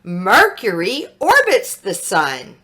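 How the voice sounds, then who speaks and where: A woman voicing a strict first-grade teacher in a storybook, firm and deliberate. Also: mid-range voice, wildly swooping pitch, sharp tone, heavily acted. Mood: instructive.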